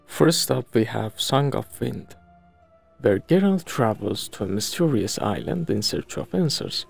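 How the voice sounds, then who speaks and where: A male presenter reads scripted game review narration. His pitch moves in a lively way and has a clear creak to it, with soft music underneath. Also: unhurried. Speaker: video presenter. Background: background music.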